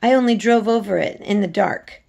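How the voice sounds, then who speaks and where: A woman voicing an earnest, outspoken young girl in a storybook, explaining things in an animated way. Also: mid-range voice, normal speed, vocal fry, voice pushed up. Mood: enthusiastic.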